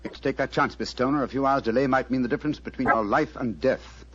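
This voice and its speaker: An actor with a British accent playing an urbane, unflappable gentleman detective, suave and dryly ironic, in an old-time radio drama. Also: mid-range voice, quick pace, a little hiss or hum, close to an everyday voice. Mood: businesslike. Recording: dull lo-fi vintage radio recording.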